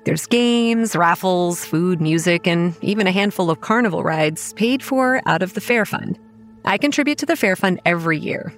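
An actress playing a wry, weary young campground manager who narrates a horror audio drama in a measured, dry way. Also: female voice, lowish voice, animated. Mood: wry, brooding. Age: young adult. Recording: music under the voice.

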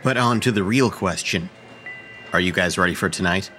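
A man's voice: low voice, normal speed, pushed and bright, soft background music. A young man acting a laid-back but defensive college prankster, speaking in a casual, slangy way in an audio drama. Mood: eager. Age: young adult. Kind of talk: dramatic dialogue in old time radio style audio play.

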